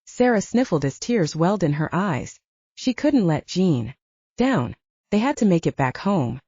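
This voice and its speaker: A woman's voice: fairly low pitch, big pitch swings, unhurried, dark tone, husky, dry recording, highs cut off. A woman narrating an audiobook novel in a scripted storytelling style.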